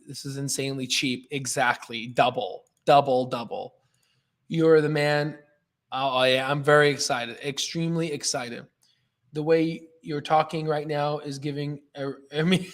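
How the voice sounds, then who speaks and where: A man hosting a live stock trading stream, talking casually and emphatically in an excitable, jokey way as he comments and answers chat. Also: on the high side.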